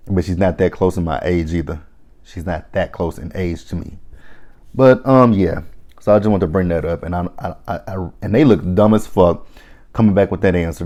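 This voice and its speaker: Blunt deep-voiced man in his thirties. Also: dark tone. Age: 30s. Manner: blunt, opinionated, swears freely; rambling with frequent restarts. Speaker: reality TV reviewer. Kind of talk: casual recap commentary.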